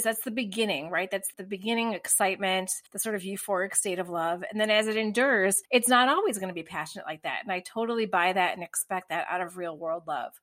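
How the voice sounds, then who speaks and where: A woman talks through her views on a drama in a relaxed podcast chat. She is thoughtful and measured, slowing down to dwell on the words that matter to her. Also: mid-range voice. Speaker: podcast co-host. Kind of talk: casual discussion of a TV drama.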